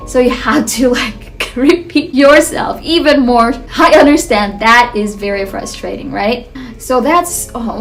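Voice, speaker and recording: A woman in her thirties from the Philippines with a Filipino accent, talking casually to camera in an earnest, chatty way with light laughs and restarts. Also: on the high side. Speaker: vlogger.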